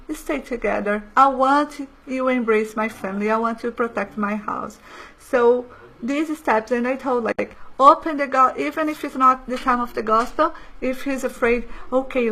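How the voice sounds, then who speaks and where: A woman's voice: a little high, a little hiss or hum, low-quality recording. Earnest woman giving a spiritual lecture. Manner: earnest, gentle, slightly non-native phrasing.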